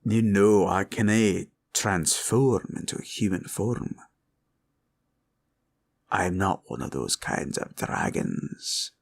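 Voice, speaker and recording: A man playing a proud, cunning green dragon in a villain roleplay audio, with a taunting drawl and a gravelly growl. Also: low voice, takes it slowly, full character voice. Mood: amused, scornful. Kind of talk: roleplay ASMR villain monologue.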